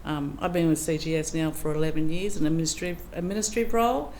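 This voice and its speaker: A woman's voice: low-pitched, fast talker, darkish tone. A middle-aged woman speaks as a council candidate at a public meeting. Her delivery is plain and chatty, with plenty of ums. A faint hiss runs under her voice. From Australia. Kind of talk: candidate speech at a public forum.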